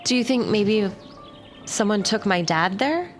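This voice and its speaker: A woman playing in a tabletop game, talking quickly and earnestly about her family. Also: mid-range voice, animated, soft background music. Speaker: player. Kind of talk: tabletop roleplay chat.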